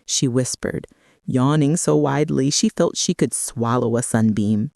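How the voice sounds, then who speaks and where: A woman narrating a calming children's storybook tale in a gentle, soothing manner. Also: a bass voice, unhurried, vocal fry, dry recording, clean studio sound.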